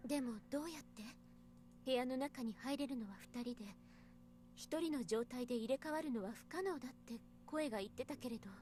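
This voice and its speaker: A woman's voice: sits high, racing, warm tone, a little hiss or hum, close to an everyday voice. A woman voice-acting an arrogant, provocative young woman in a Japanese visual novel. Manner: teasing, haughty.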